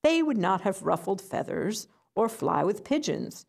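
A woman's voice: medium pitch, big pitch swings, measured pace, gravelly, exaggerated voice. A woman voicing a snooty, pompous old male swan who talks down to others. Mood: haughty. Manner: pompous, haughty.

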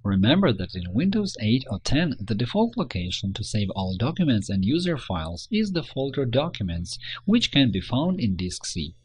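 A man reading a scripted instructional narration in a measured, step-by-step manner. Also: mid-range voice, sing-song, breathy edge. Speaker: tutorial narrator.

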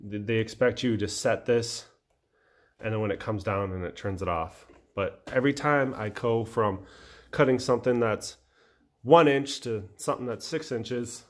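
American guy, kinda low voice, casual blunt tool review, unscripted, trips over his words a bit. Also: darkish tone. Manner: informal, blunt, halting with self-corrections. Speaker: welder-fabricator reviewing a tool. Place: indoor metalworking garage workshop.

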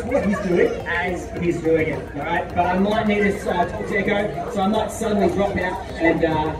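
A young man giving a chatty live demonstration talk to a crowd at an outdoor farm paddock, often asking "all right?". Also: high voice. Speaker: farm dog handler. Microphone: distant handheld camera. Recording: distant, echoey, with loud music and crowd.

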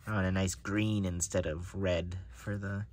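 A man's voice: deep voice, little pitch movement, measured pace, darkish tone. A man casually narrating an unboxing of playing cards, musing to himself as he handles them. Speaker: card collector reviewing decks. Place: indoors at a table.

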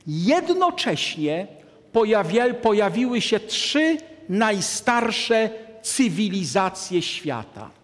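A man in his sixties giving a deliberate, emphatic lecture to an audience from a lectern in a large hall. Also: high-pitched. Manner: deliberate, emphatic, gestures at a map. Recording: long hall reverb.

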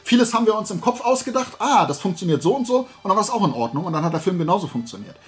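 A man's voice: high-pitched, rapid-fire. A man, chatting to camera in a casual, opinionated, rambling and emphatic way as a video host. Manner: animated rambling, colloquial, emphatic. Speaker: YouTube host of a toy collecting channel. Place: small indoor room lined with shelves of toys.

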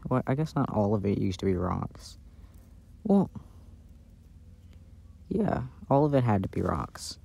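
A man doing a casual, intimate ASMR boyfriend roleplay in a soft-spoken, playful way, recorded outdoors. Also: lowish voice, creaky, breathy edge. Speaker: audio roleplay performer playing a boyfriend. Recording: outdoor recording with background noise. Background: outdoor ambience and noise.

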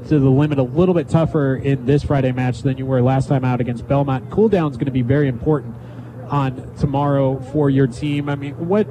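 A man working as a sports broadcaster, asking wordy, conversational questions in a post-game interview on a headset mic. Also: mid-range voice. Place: press box overlooking a soccer field at night. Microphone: headset microphone. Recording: clear outdoor stadium background noise.

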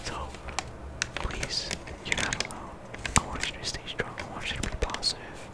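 A soft-spoken ASMR creator, sick at the moment, whispering a casual, earnest ramble full of slang and fillers. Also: normal speed. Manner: earnest encouragement.

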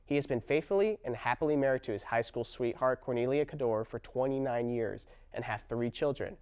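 A man's voice: medium pitch, fairly quick, a little mellow, clear, resonant tone. A young adult man, a student presenter, reading a script to camera in an indoor studio with an upbeat delivery. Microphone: lapel mic.

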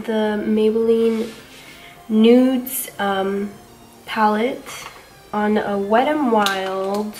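A woman's voice: mid-range voice, recorded from a distance, a little hiss or hum, rough recording. Young woman, congested, chatty makeup vlog. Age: young adult. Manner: chatty, relaxed, with frequent ums and likes. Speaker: beauty vlogger. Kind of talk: casual makeup tutorial. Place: small dim bedroom.

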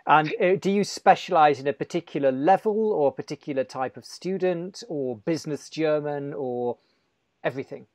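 A middle-aged man hosts an interview from a home study, asking short questions over an earphone headset. His voice sits fairly high for a man. The sound is dry and close. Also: normal speed, no room sound. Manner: brief questions and back-channel yeahs. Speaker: interviewer, host. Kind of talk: video call interview. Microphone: earphone headset on a video call.

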